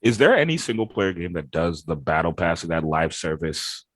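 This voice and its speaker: A man in relaxed, informal conversation on a gaming podcast panel. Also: low-pitched, moderate pace, no echo, studio quality. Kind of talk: casual chat.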